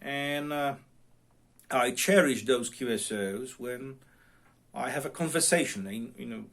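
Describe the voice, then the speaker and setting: A middle-aged man with a non-native English accent and a mid-pitched voice talks casually to his viewers. He speaks in a halting, unscripted way, repeating the starts of phrases as he searches for the next word. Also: vocal fry, fairly bright tone. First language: non-English, self-taught English speaker. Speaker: amateur radio vlogger. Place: home radio room.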